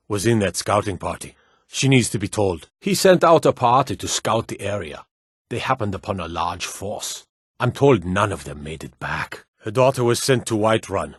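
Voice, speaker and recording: A man's voice: on the low side, husky, dry recording, studio quality. A man playing a stern, wary medieval city guard in a fantasy video game, with an authoritative, curt way of speaking. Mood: somber, reluctant. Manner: authoritative, curt, clipped commands.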